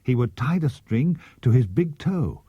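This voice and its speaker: Animated male storybook narrator. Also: medium pitch, unhurried, husky, dry recording. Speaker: audiobook narrator. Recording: dull cassette transfer with music.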